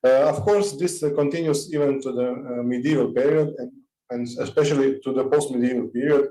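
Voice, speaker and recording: Male presenter on a video call, halting, mellow tone, roomy audio. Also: mid-range voice, steady tone. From Croatia. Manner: halting, many fillers. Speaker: conference presenter. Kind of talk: online presentation. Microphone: computer mic on a video call.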